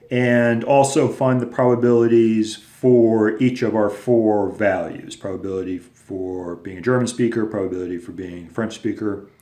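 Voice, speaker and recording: A man's voice: fairly low pitch, darkish tone. A man teaching a recorded lesson, explaining patiently step by step with casual fillers. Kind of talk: recorded lecture video. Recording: echoey room.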